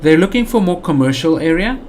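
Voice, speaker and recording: A man's voice: sits high, expressive, fairly quick, a little mellow, a little hiss or hum. A man with a South African accent giving casual let's play commentary, thinking aloud with lots of fillers and restarts. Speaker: gaming channel host.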